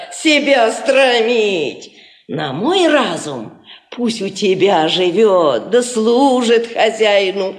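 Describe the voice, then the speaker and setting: A bossy, mocking village wife in an old radio drama. She is high-pitched, folksy and sly, quick to scold, and recorded in a roomy, echoing space. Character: sharp-tongued, scheming peasant wife, mocking and bossy. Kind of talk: Soviet 1950s radio play acting.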